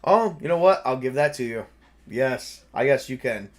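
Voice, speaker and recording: A man's voice: mid-range voice, lively intonation, normal speed. A man acting as game master, narrating and making rulings in a casual, off-the-cuff, conversational way.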